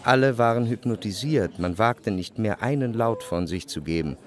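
An actor playing a reflective, refined writer narrating his memories in a measured, literary radio drama manner. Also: on the low side, close to an everyday voice. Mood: observant. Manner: measured, contemplative. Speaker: first-person narrator. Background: music behind the voice.

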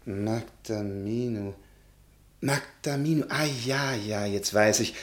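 An actor playing a gentle, absent-minded male professor in a radio drama, speaking in a hesitant, courteous, musing way. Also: on the low side, slow. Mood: shy, curious.

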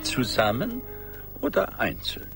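An actor playing an earnest, slow-witted old gentleman, halting and puzzled, in a radio play. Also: medium pitch, wildly swooping pitch, gravelly, soft background music, heavily acted. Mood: intrigued. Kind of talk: 1980s German radio play acting.

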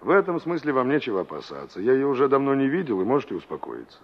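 Husky, animated young engineer, old radio play. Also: male voice, medium pitch, rapid-fire. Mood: calming, defensive. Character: young male engineer, dry, probing and impatient. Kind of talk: 1960s Soviet radio play acting. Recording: dull old radio drama recording.

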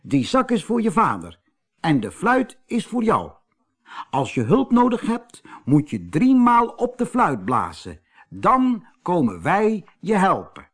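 A man voicing a cheerful little mountain gnome in a storybook, with a hearty, excited way of talking. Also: sits high, slow, breathy edge, pitched above the performer's own voice, heavily acted. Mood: kindly.

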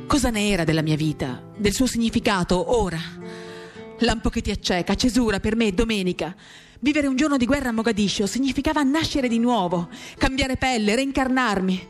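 A woman giving an intimate, measured dramatic reading of a literary text, pausing between short phrases. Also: mid-range voice. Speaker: reader of a novel. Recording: guitar music under the voice. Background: acoustic guitar.

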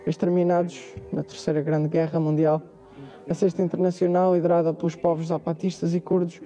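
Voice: mid-range voice, little pitch movement, brisk, dark tone, lightly acted. A performer with a European Portuguese accent playing a solemn narrator of a dystopian future in a radio drama. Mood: grave.

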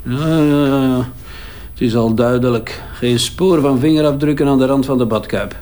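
An actor playing a talkative, sardonic crime-scene investigator with a Flemish accent and a wry, bantering way of talking. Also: male voice, medium pitch, normal speed, a little mellow, a little hiss or hum, natural voice. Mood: brisk, dismissive. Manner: wry, bantering, hesitating as he examines. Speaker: forensic investigator. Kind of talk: naturalistic audio crime drama acting.

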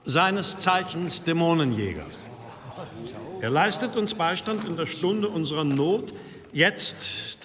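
A man's voice: a little high, a bit bright, slight background noise. A man acting a solemn monastery leader formally addressing his brethren in a German radio drama. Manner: formal, declamatory. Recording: long echo of a large hall.

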